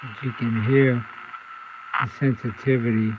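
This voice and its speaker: A man's voice: mid-range voice, fairly even intonation, measured pace, dull audio, over loud music. A man giving a casual, off-the-cuff demonstration at an indoor workbench as a radio repair hobbyist. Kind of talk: casual workbench demo narration. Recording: noisy, loud radio static hum. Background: radio static and interference noise.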